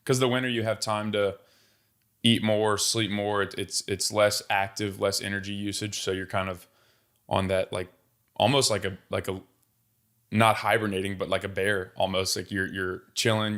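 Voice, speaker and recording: Low-pitched man with flat intonation. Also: moderate pace. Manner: laid-back, informal, with buddy-like slang. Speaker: podcast host. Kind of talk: casual chat.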